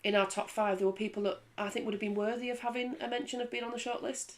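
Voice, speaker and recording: A woman's voice: a little high, fast talker. A woman, a former rugby league player chatting casually on a studio panel. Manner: chatty, lively, laughs easily.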